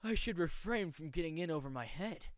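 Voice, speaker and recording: Man acting coolly formal young swordsman, crisp voice. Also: fairly high pitch, lively intonation, no room sound, studio quality, natural voice. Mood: cool, composed. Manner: formal, measured, precisely enunciated. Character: polite, aloof young male swordsman, coolly menacing. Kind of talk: amateur voice acting showcase of manga lines.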